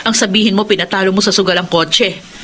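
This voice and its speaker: A woman's voice: mid-range voice, steady tone, fast talker, pushed and bright, a little hiss or hum, natural voice. A Filipino woman playing a worried, nagging young wife and mother in a radio drama, pleading and pressing. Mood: accusing. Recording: background music under the voice.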